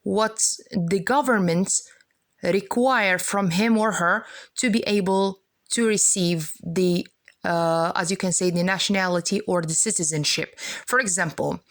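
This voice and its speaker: A woman's voice: fairly low pitch, a little slow, no room sound. Moroccan woman teacher, dry close sound. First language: Arabic. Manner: didactic, repeats and checks with "okay". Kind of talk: explanatory lesson for students.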